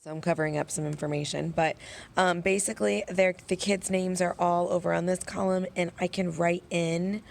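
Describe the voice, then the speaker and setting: A young woman teacher, hoarse and losing her voice, chatting casually in her classroom, with lots of "um" and "like". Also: fairly low pitch, steady tone, normal speed. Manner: chatty, filler-heavy explaining. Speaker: elementary school teacher.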